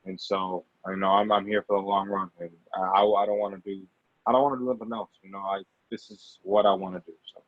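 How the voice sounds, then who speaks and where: A man's voice: sits low, little pitch movement, fast talker, no room sound. A man speaking as a podcast guest in casual interview talk, earnest and hesitant, often repeating his words. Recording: slightly dull, dry lo-fi audio.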